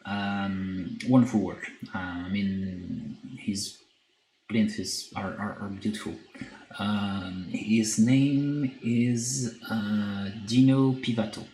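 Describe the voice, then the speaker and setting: A man with a French accent chatting on a live stream while he paints, reading chat and pausing often with fillers. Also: on the low side, steady tone, mellow. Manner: hesitant, many filler pauses, reads chat aloud. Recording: echoey room with faint background noise.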